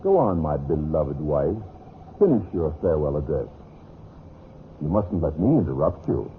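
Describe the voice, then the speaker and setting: An actor playing a suave, bitterly sarcastic husband with mock courtesy and veiled menace in an old-time radio mystery. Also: male voice, very deep voice, very animated, normal speed. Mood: sarcastic, menacing. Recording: noisy, narrow-band vintage radio recording.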